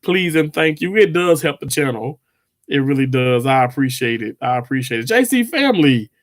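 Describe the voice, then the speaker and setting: A man's voice: mid-range voice, animated, normal speed. A man, chatting casually with viewers on a livestream, rambling with lots of fillers and restarts. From Mississippi, US. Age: 30s. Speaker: livestream host.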